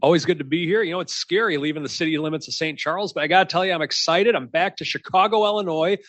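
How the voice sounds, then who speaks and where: A male co-host talks fast and excitedly, his voice rising high as he hypes up the moment. The sound is dry and close to the mic. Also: no echo. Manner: exuberant, hyping things up. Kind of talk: casual chat.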